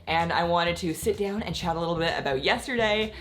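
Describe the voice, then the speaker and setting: A woman vlogging casually about her convention trip, a little croaky from losing her voice, rambling with lots of filler words. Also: lowish voice, fairly quick, slight background noise. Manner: chatty, rambling, many "like" fillers, laughs often. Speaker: gaming content creator. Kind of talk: casual vlog chat.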